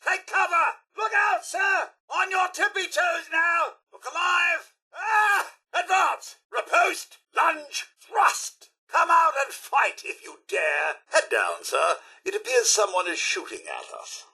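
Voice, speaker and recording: A man voicing a fussy, gentlemanly robot butler with a posh British accent and an exclamatory manner, in video game voice lines. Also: very high-pitched, very animated, slow, hardly any pauses, heavily acted. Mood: polite, attentive. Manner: posh, theatrical, polite, exclamatory. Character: eager, fussy, gentlemanly robot butler, male, itching to fight.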